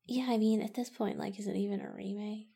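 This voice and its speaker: A woman co-hosting a movie podcast, trading offhand, dry remarks. Her voice is noticeably gravelly with heavy vocal fry, and her tone is dark and mellow. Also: mid-range voice, flat delivery, fast talker. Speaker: podcast co-host. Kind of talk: casual podcast banter.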